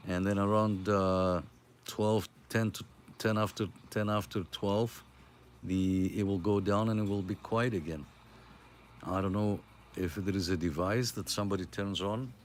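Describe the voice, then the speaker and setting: A man's voice: fairly low pitch, fairly even intonation, a little slow, slight background noise. A middle-aged man from Greece with a Greek accent, hosting a casual CB radio chat in a friendly, hesitant way with lots of fillers. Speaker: CB radio net host.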